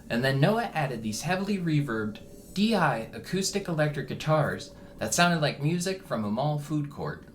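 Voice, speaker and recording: A man giving a reflective, measured scripted narration, as a musician talking about his own work. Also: mid-range voice. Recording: ambient music behind the voice. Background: ambient background music.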